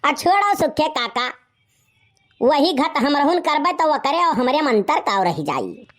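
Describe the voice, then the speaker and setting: Very high-pitched cheeky young village lad. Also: very animated, unhurried, a bit bright, exaggerated voice. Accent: Awadhi, eastern Uttar Pradesh. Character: cheeky, self-assured young village man, witty suitor. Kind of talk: comedy cartoon voice acting in village dialect.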